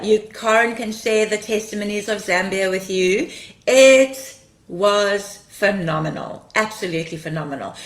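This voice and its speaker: Chatty woman, slightly croaky. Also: medium pitch, echoey room. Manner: chatty, laughs often, greets viewers by name. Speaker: ministry livestream host. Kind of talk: casual livestream chat reading comments.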